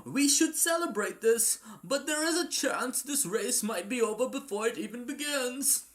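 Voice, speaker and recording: A man's voice: extremely high pitch, lively intonation, moderate pace, fairly bright tone. Man acting as anxious, formal assistant. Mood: worried, urgent. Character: anxious, formal aide to a race promoter. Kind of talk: amateur fan dub acting.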